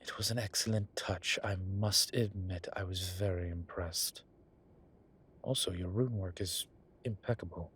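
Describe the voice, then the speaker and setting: A man voicing a flirtatious, smitten demon professor in an intimate audio roleplay, husky and breathy, with halting, wry phrasing. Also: deep voice, fairly even intonation, fairly bright tone, slight background noise. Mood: playful, teasing. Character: teasing, smitten male incubus demon professor. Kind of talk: intimate one-sided audio roleplay acting.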